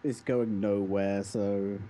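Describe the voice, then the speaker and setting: A man's voice: fairly low pitch, level tone, takes it slowly, mellow, slight background noise. A young man co-hosting a casual podcast about games, with a dry, mock-indignant manner. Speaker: podcast co-host.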